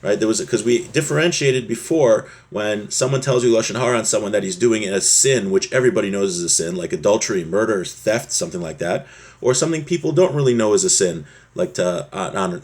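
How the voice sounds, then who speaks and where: A man with a husky voice giving an informal religious lesson, explaining a text step by step and often rephrasing his points. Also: fairly low pitch, fairly quick, darkish tone. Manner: repeats and rephrases, checks in with "right?". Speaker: religious teacher giving a class.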